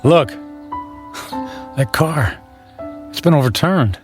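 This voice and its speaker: An earnest, brave reformed workaholic in a radio drama. His voice sits a little high and he speaks sincerely and reassuringly. Loud music swells behind him. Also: lively intonation, slow. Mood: relieved, modest.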